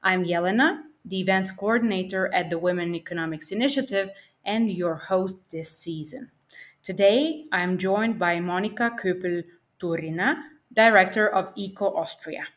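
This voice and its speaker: A woman's voice: medium pitch, moderate pace. Young woman hosting a podcast interview. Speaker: podcast host.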